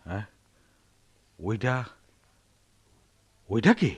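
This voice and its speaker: Slow, soulful village husband. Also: male voice, on the low side, very animated, breathy edge, no echo, highs cut off, full character voice. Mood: bewildered. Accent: rural Bangladeshi. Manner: halting, heavy with feeling. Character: bewildered, soulful village husband and new father. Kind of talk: Bengali radio play acting.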